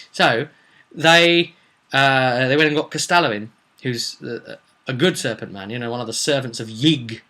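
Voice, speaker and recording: A man's voice: mid-range voice, a little slow, pushed and bright. A man speaking as a game master, recapping a roleplay session in a casual, rambling, digressive and jokey way. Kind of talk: casual rambling vidcast storytelling.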